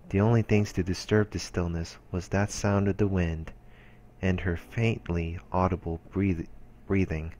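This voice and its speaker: A man's voice: deep voice, darkish tone. A man reading visual novel text aloud as a let's play narrator, in a dramatic storytelling style. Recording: background music behind the voice.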